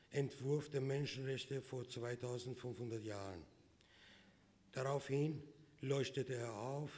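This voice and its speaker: A man whose first language is Persian, from Iran, reading a prepared speech at a conference in slow, measured phrases. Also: mid-range voice, darkish tone. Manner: slow, measured delivery with pauses between phrases. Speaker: conference speaker. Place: conference hall. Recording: reverberant hall recording.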